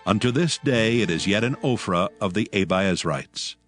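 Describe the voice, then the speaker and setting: Male scripture narrator: lowish, measured, formal reading over faint music. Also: expressive, fairly bright tone.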